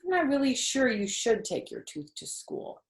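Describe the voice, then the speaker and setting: A hesitant, gentle dad, as a woman reads him from a storybook, speaking softly and unsure of himself. The voice rings in an echoey room. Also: on the high side, huge pitch swings, moderate pace, creaky, voice pushed up, heavily acted. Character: hesitant, uneasy father of a little girl.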